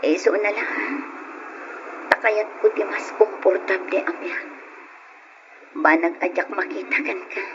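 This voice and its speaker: A woman's voice: medium pitch, unhurried, long pauses, natural voice. An actress playing a practical young Filipino woman dissatisfied with her poor boyfriend in a radio drama. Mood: serious, disappointed. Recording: muffled noisy old radio recording.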